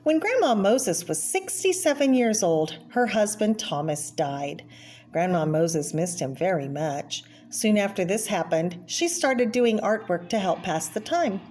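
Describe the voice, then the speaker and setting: A woman's voice: mid-range voice, expressive, a little slow, a little mellow. A woman reading a children's picture book aloud, explaining the pictures and addressing the listener with small asides. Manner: explanatory, pointing out pictures and asking the listener questions. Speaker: read-aloud storyteller. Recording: clear background noise.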